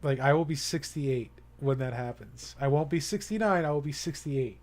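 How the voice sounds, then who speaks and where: A young man talks casually to the viewers of his live stream. He rambles earnestly, stopping to restart his sentences and leaning on fillers. His voice sits at an ordinary middle pitch for a man. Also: normal speed, darkish tone. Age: young adult.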